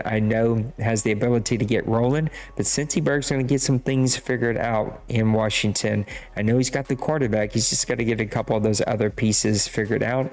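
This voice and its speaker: A man's voice: lowish voice, a little mellow. A man with a Cajun accent hosting a podcast, casually recapping scores in a rambling, self-deprecating way. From Louisiana. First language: Cajun French. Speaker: podcast host.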